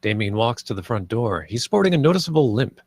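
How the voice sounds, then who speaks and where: A man's voice: medium pitch, very animated, a little fast, husky, no room sound. A man reading scripted stage directions as the narrator of a live screenplay table read.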